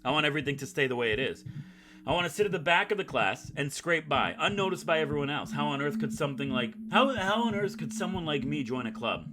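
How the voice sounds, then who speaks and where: A man's voice: fairly high pitch, expressive, fast, natural voice. A man reading the inner monologue of an anxious, self-loathing schoolboy in a visual novel, gloomy and wordy. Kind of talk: visual novel read aloud.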